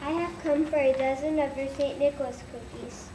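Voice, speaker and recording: A stern old woman, played by a young schoolgirl, scolding and declaiming in a high, fairly even pitch. She is reciting in a school play, heard from across an echoey, noisy room. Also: normal speed, far from the mic, lightly acted. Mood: demanding. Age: child, about eight or nine. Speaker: reader in a school readers' theater.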